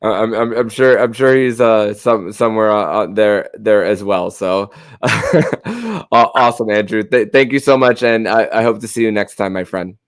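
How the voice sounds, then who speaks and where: A male podcast host with a fairly low, clean and smooth voice. He talks conversationally about sports, stumbling and repeating words as he works toward each point. The sound is close and dry. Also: lively intonation, no room sound. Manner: halting, stammering with repeated words.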